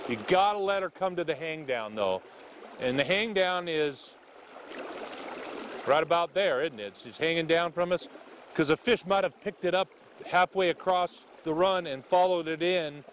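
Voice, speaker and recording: A man's voice: fairly high pitch, moderate pace, fairly bright tone, a little hiss or hum. A middle-aged man, a fishing guide, wading in a river and explaining casting step by step, often checking in with "okay?". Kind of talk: on-the-spot fly-casting instruction.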